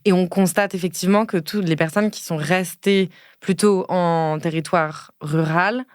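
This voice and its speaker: A woman in her late twenties from Ardèche, a podcast guest who talks thoughtfully with many pauses and fillers. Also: fairly low pitch, steady tone, dry recording, studio quality. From Ardèche, France. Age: late 20s. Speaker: guest, feminist speaker and lawyer. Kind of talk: casual podcast conversation.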